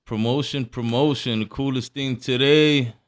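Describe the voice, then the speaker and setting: A young man from Puerto Rico, a pro wrestler guest, chatting casually in relaxed street slang and often trailing off. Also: medium pitch, moderate pace. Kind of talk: casual podcast chat.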